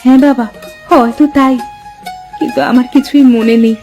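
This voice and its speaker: A woman voicing a devoted, long-suffering young farmer's wife in a Bengali cartoon, expressive and plaintive, with a Bangladeshi accent. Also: high voice, moderate pace, lightly acted. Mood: wistful. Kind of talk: Bengali cartoon fairy-tale dialogue. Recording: background music under the voice.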